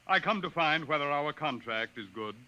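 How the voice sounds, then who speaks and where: Solemn alien chief, piercingly clear. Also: male voice, on the high side, expressive, sharp tone, highs cut off. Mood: solemn, proud. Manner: formal, measured, ceremonious. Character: solemn, proud alien chief of a potters' tribe, male. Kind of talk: 1950s radio drama acting.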